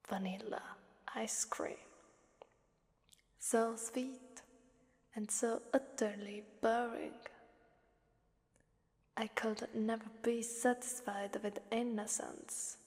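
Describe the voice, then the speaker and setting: Girl doing a creepy hungry demon lady for asmr, super slow breathy whisper, teasing, big echoing reverb. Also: a little high, fairly even intonation, darkish tone. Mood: disgusted, bored. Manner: slow, teasing, menacing, drawn-out phrasing. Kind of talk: ASMR roleplay monologue. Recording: long hellish reverb.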